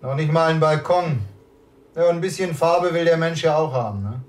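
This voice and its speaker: An actor playing a young husband in a radio drama, offhand and needling, with colloquial, sardonic delivery. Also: male voice, on the high side, a little fast, a little hiss or hum, natural voice. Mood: offhand, grumbling. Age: young adult. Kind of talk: German radio drama acting.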